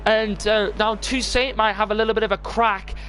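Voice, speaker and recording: A man commentating a live sim race, excitable and rushed, repeating himself as he chases the action and losing his voice. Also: high voice, faint music behind. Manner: excitable, rapid, words tumbling and repeated.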